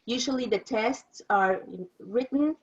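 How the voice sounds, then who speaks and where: Higher-voiced Mexican-accented woman, muffled audio. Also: slow, husky. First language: Spanish. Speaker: interpreter giving a training presentation. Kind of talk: informal explanatory presentation.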